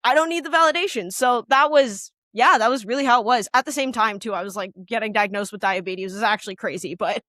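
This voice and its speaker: A young woman from Canada with a high, loud, piercing voice talks candidly, rambling through plenty of fillers. Her voice sits close and dry, with no room around it. She is a musician who is a guest in a relaxed interview. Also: fast, few pauses, no echo. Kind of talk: casual interview chat.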